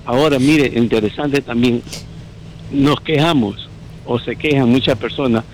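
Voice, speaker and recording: A man's voice: mid-range voice, unhurried, a little hiss or hum, rough recording. A man calling in to a radio talk show, giving his opinion over the phone with many fillers and restarts. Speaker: radio caller. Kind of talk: call-in opinion. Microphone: phone line.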